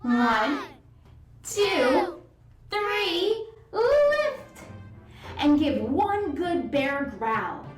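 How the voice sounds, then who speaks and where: A woman hosting a children's read-aloud and movement lesson, instructing young children in an animated, singsong way. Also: high-pitched, drawn-out words, pauses often. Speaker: children's yoga and story time host. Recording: roomy echo, distant mic, music bed. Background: music.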